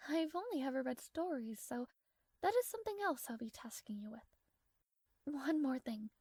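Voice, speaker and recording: A woman's voice: sits high, very animated, normal speed, a little mellow, husky, dry recording, full character voice. A woman playing a proud, strong-willed young princess in a romantic fantasy audio roleplay, speaking formally with haughty, flustered hesitations. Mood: instructing, shy.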